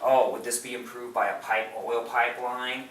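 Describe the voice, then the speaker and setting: A man's voice: medium pitch, flat delivery, normal speed, a bit bright. A man in a casual film discussion, speaking with gushing enthusiasm as a podcast co-host. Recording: roomy, distant mic, background noise.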